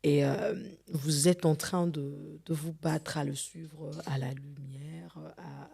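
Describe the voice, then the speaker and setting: A middle-aged woman from Congo, a gospel singer, giving an earnest and measured testimony as an interview guest, sometimes repeating words as she searches for them. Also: deep voice, level tone, creaky, dark tone, breathy edge. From Democratic Republic of the Congo.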